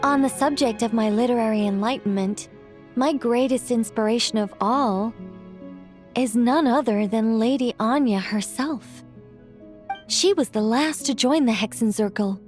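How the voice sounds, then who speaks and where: A woman voices an ancient female angel who tells tales in a video game. The voice sits somewhat high, with measured, eloquent phrasing and a playful, wry edge. Music plays behind the words. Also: close to an everyday voice. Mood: fond, reflective. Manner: eloquent, measured, gently teasing. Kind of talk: video game character acting, storybook narration.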